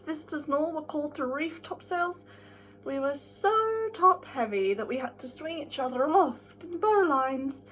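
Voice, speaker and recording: High-pitched woman giving a theatrical book reading. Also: a bit bright, a little hiss or hum, low-quality recording. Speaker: amateur reader. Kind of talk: theatrical dramatic reading of a classic novel.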